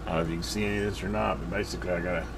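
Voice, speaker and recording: A man's voice: sits low, steady tone, very fast, creaky, very noisy. Rambling man at his workbench. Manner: rambling, lots of fillers and restarts. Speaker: radio hobbyist reviewing a CB radio. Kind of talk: casual workbench review.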